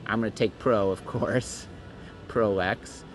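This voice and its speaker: A middle-aged man co-hosts a podcast, his voice a little worn and hoarse from a long week. He rambles along, restarting sentences and throwing in jokes. There is a faint hum of noise behind him. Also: fairly low pitch, fairly even intonation, takes it slowly. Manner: rambling, self-interrupting and joking. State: voice going hoarse. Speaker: podcast co-host. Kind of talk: casual podcast chat. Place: busy conference venue.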